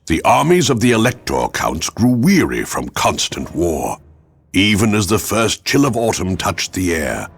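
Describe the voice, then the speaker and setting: An actor voicing a grave, portentous narrator of a dark war chronicle in a fantasy game, in dramatic scripted style. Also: male voice, deep voice, breathy edge, heavily acted.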